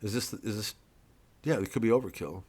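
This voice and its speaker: A man's voice: on the low side, brisk, a little mellow, breathy edge. A man in his fifties from the United States, giving a rambling, sardonic and profane comedic monologue.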